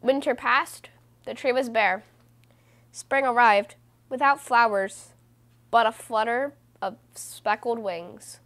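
A woman reading a children's book aloud as host, in a measured, deliberate way with stressed phrases. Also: on the high side, fairly bright tone. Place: small room indoors.

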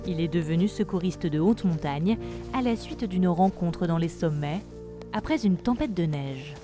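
Lower-voiced woman narrating a documentary. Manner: measured, even narration. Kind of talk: scripted documentary voice-over. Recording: voice-over with music behind. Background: music.

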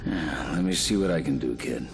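An actor playing a gruff, tough loner super-soldier with a protective streak, blunt and terse, in a video game cutscene. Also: male voice, rumbling low, expressive, moderate pace, soft background music, full character voice. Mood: guarded, gruff. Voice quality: gruff, gravelly.

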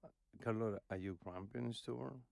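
A man in his fifties hosting a podcast and teasing his family in casual conversation, recorded on a boom mic in a cozy living-room studio. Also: fairly quick, gravelly, a little mellow, no room sound. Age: 50s. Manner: teasing, playful questioner. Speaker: podcast host. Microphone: boom-arm studio mic.

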